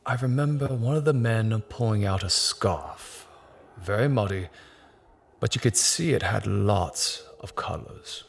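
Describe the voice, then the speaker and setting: Low, gravelly, hesitant working man. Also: measured pace, noisy background. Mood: reluctant, subdued. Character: grave, hesitant male construction company worker recalling a grim find. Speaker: actor in an audio drama. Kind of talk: naturalistic audio drama dialogue, recounting in an interview.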